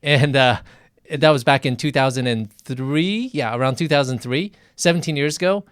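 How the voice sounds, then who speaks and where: A middle-aged man hosting a live interview, his voice smooth and resonant at an ordinary pitch. He talks in a thoughtful, conversational way and often repeats himself. The sound is dry and close, with no room around it. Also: moderate pace, a bit bright, dry recording.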